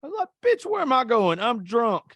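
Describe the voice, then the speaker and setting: A man's voice: very high-pitched, sing-song, a little fast, fairly bright tone. A man hosting a podcast, chatting casually and telling crude stories with a lot of profanity and self-mocking humor. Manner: profane, joking, laughs at himself. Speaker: podcast host. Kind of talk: casual crude storytelling chat.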